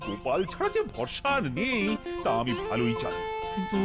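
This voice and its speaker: A worried, stammering cartoon king, his high voice rising and dipping all over. He frets through every line, with music playing underneath. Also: wildly swooping pitch, fairly quick. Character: anxious fretful king, middle-aged man. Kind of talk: cartoon voice acting. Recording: studio dub with background music.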